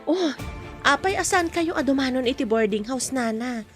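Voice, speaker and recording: A woman's voice: high-pitched, animated, moderate pace, faint music behind. A woman with an Ilocano accent playing an earnest younger woman in an audio drama. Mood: subdued, respectful.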